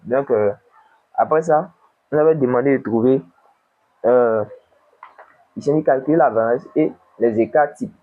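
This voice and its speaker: A man with a cold, tutoring an econometrics exercise and dictating numbers slowly while asking himself questions. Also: medium pitch, narrow, tinny audio, a little hiss or hum. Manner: slow, dictating numbers, asks and answers himself. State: has a cold. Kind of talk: screen-recorded worked exercise.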